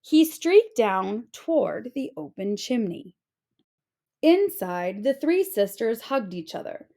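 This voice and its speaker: A woman narrating a children's storybook aloud in an expressive, deliberate storytelling style. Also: a little high.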